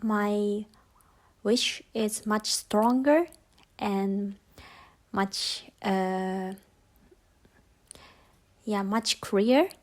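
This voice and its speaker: Young woman, from Japan, very slow, earnest, halting, student interview answer. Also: mid-range voice, steady tone. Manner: earnest, halting with short pauses.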